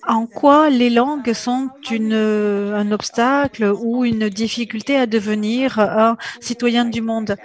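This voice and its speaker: A woman moderating an online panel discussion, speaking hesitantly with frequent filler pauses and restarts. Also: on the high side, fairly even intonation. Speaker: forum moderator.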